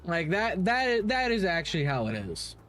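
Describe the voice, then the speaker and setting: A man with a slightly high-pitched voice hosts a casual gaming livestream. He rambles through his opinions and swears freely as he talks to chat. Faint music plays behind him. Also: very animated, moderate pace, fairly bright tone. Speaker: livestream host. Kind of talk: casual livestream commentary on games, answering chat.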